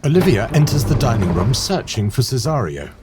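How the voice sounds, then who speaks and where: A man's voice: mid-range voice, big pitch swings, fairly quick, natural voice. A man playing the storyteller of an audio play, narrating scripted scenes expressively. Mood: storytelling. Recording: music under the voice.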